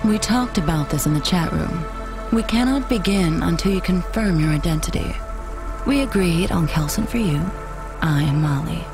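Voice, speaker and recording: Low-voiced, commanding dominatrix. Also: female voice, lively intonation, normal speed, music in the background. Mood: impatient. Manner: formal, clipped, commanding. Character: brisk, self-assured female dominatrix, proud and impatient. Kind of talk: video game voice acting.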